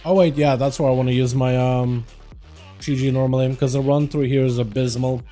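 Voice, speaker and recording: A man's voice: mid-range voice, flat delivery, a little fast, warm tone, soft background music. A young man with a slight Russian accent, a streamer chatting casually while gaming, rambling and filling his talk with "like". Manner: rambling, chatty, answers chat questions, frequent "like" and laughs.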